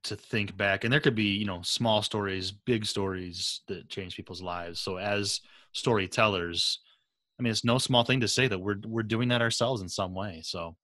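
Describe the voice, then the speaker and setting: A man hosting a podcast in casual conversation, feeling his way haltingly into each question. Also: on the low side, sharp tone, no room sound. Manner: halting, circles around questions. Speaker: podcast host, interviewer.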